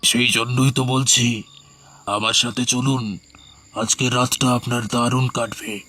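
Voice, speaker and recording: A man playing a slow, husky, eerie village ghost. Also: mid-range voice, level tone, pushed and bright. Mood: coaxing. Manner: slow, ominous, drawn-out delivery. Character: eerie gaunt skeletal ghostly village man, slyly inviting. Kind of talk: horror cartoon voice acting.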